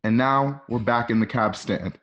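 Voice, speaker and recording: A man's voice: mid-range voice, normal speed, a bit bright, lo-fi sound. A man reading scripted scene directions as the narrator of a radio play.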